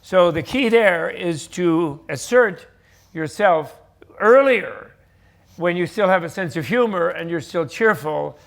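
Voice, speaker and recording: An older man speaks at a live panel in a gravelly, fairly high voice. He explains ideas in a loose, animated way, often restarting his sentences as he goes. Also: slow pace. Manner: animated, rambling explanations with self-corrections. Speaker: panel speaker on Buddhist teachings. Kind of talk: conversational teaching talk. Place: live event stage with audience.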